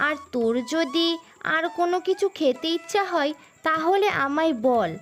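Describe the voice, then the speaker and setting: A woman plays a proud village mother from a cartoon folktale. Her voice is very high and emphatic, doting yet sharp-tongued. Music plays behind her. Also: expressive, moderate pace. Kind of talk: Bengali moral-story cartoon voice acting.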